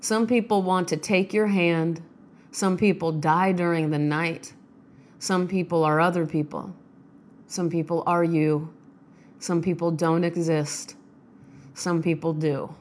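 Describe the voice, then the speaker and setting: Sick-sounding woman with a low voice. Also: fairly even intonation, measured pace, a little mellow, slight background noise. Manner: chatty, self-deprecating, joking. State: sick with a sinus infection, voice off. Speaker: podcast host reading poems. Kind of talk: casual chat and poetry reading. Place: small home studio.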